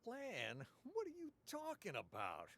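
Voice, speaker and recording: A man's voice: extremely high pitch, wildly swooping pitch, unhurried, gravelly, fairly bright tone, full character voice. A man playing a testy, pompous theatre manager in a radio drama, emphatic and dismissive. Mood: defensive. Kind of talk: interactive radio drama acting.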